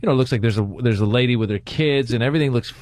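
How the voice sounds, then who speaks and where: A man born in Iran and raised in America, a comedian guest telling stories in casual chat with repeated quick starts. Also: medium pitch, darkish tone.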